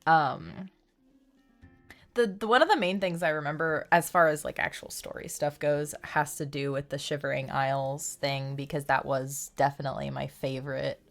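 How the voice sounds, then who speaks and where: A woman's voice: low voice, steady tone, normal speed, gravelly. A woman game streamer chatting casually on a livestream in an informal, rambling, giggly way. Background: faint game music.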